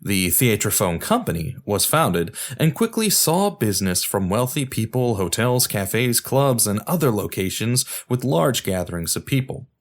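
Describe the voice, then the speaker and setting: A man's voice: lowish voice, big pitch swings, moderate pace, few pauses. A man hosting a podcast, reading scripted documentary narration in an explanatory way and addressing the listener directly.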